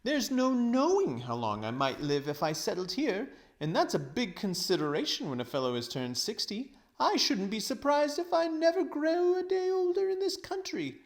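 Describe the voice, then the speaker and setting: A man voicing a vain, greedy old gentleman in his sixties for a storybook, pompous and grandly exclamatory. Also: very high voice, big pitch swings, normal speed, few pauses, voice pushed up, heavily acted. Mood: gleeful, scheming. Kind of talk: storybook read-aloud character voice.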